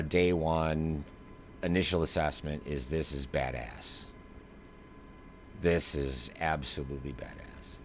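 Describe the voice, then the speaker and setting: A man giving live flight simulator demo commentary in a casual, slangy, emphatic way, like a streamer. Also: rumbling low. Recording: clear background noise.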